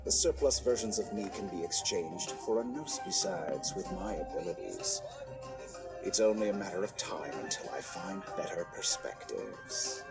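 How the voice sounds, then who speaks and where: A smug, deep-voiced mad scholar. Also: male voice, sing-song, normal speed, heavily acted, low-quality recording. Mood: smug, calculating. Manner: smooth, mocking, theatrical, with sudden shouting outbursts. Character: scheming arrogant male mad scholar villain. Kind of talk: video game cutscene voice acting. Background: game score music.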